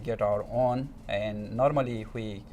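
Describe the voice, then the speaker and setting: A man's voice: fairly low pitch, steady tone, a little slow, darkish tone, lo-fi sound, slight background noise. A man from Nepal with a Nepali accent, answering interview questions in hesitant non-native English, searching for words. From eastern Nepal. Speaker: interview guest.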